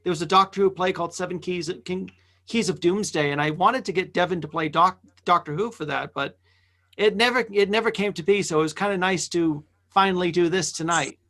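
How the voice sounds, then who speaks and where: A man hosting a live event, chatting casually with halting, hesitant phrasing. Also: sits high, sharp tone. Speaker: host of a live script-reading event. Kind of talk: casual group chat.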